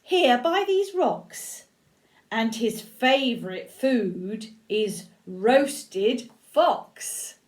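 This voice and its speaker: A grandmother voicing a small, quick-witted brown mouse in a storybook reading. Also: on the high side, expressive. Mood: boastful.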